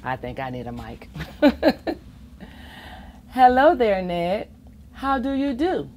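A middle-aged woman reading a rhyming picture book aloud to children in an expressive, playful way, with asides of her own. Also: a little high, slow, slight background noise. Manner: expressive, chuckling asides, acts out the rhymes. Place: library children's reading corner, indoors.

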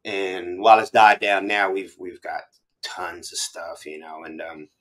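A man's voice: deep voice, little pitch movement, a little slow, a bit bright. A middle-aged man with an American accent hosts a casual hip hop interview, speaking in slang and thinking aloud as he goes. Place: small room full of memorabilia, indoors, on a video call. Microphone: video call.

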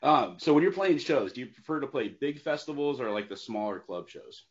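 A man's voice: medium pitch, animated, fairly quick. Male podcast host, slightly muffled. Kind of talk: casual interview. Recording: dull video-call audio.

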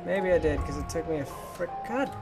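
A man's voice: on the high side, animated, brisk, gravelly. A young man giving casual let's play commentary, chatty and goofy with playful exclamations. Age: young adult. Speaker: gaming YouTuber. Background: game music.

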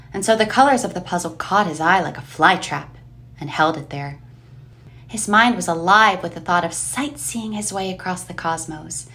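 Lower-voiced woman narrating a story. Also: a bit bright.

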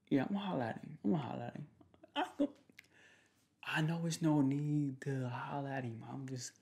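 A young man playing a protective, jealous boyfriend in an ASMR roleplay, talking in soft, slangy, repetitive, teasing phrases. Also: a little high, creaky, pitched above the performer's own voice. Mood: defensive. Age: young adult. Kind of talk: ASMR boyfriend roleplay, improvised casual chat. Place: small room at home, indoors.

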